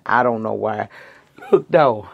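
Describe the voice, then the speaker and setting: Hoarse, sassy woman vlogger. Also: rumbling low, slow pace, dull audio. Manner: chatty, sassy, addresses viewers as girl and y'all. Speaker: reality TV review vlogger. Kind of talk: casual chat review.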